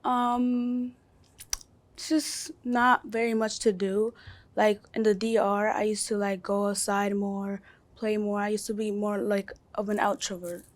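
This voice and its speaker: Teen girl, from the Dominican Republic, flat monotone, dry close sound, casual fillers. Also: medium pitch, unhurried, dry recording. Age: teens. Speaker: student interviewee. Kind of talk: casual, filler-heavy answers. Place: school studio.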